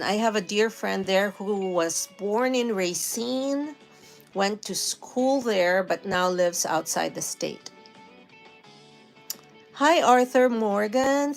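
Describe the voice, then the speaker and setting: Filipina lady livestreaming, friendly and chatty, filipino accent, low music behind her. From Philippines. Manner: friendly, chatty, laughs often. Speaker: livestream host. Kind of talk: casual live stream chat, greeting viewers. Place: home in New York.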